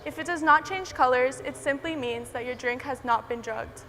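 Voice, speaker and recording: An eighteen-year-old woman from Miami giving a clear, explanatory prepared talk on a conference stage. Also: high voice, normal speed, pushed and bright. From Miami, United States. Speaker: student entrepreneur speaker. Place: large conference hall stage. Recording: reverberant hall with faint ambience.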